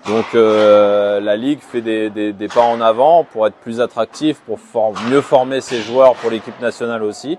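A man who plays hockey, answering interview questions calmly, with many pauses and fillers. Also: fairly low pitch, slight background noise. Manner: calm, hesitant, many fillers. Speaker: hockey player.

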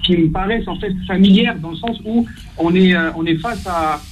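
A man's voice: high voice, heavy background noise. Hesitant caller, man in his thirties. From Algeria. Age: 30s. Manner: hesitant, halting, repeats words often. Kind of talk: call-in to a radio show. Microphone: phone line.

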